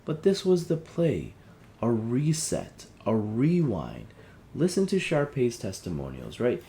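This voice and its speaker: A man playing an agitated, conspiracy-minded husband in an audio drama, rambling, halting and searching for words. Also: medium pitch, huge pitch swings, creaky, dark tone, exaggerated voice. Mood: insistent, suspicious. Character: agitated conspiracy-minded husband piecing together a dystopian plot. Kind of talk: audio drama, conversational dialogue.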